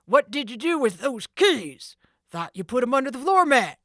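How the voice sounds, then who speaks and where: A woman voicing a gruff male ringleader of thieves who growls and barks orders. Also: fairly low pitch, very animated, slow, bright, forceful tone, no echo, studio quality, heavily acted. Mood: angry.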